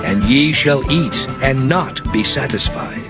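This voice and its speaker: A man's voice: medium pitch, expressive, slow. A man playing God as a stern, solemn deity in a dramatized King James scripture reading, declaiming in archaic diction. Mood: stern, threatening. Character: God, stern male deity pronouncing curses and covenant. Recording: music behind the voice. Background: clear background music.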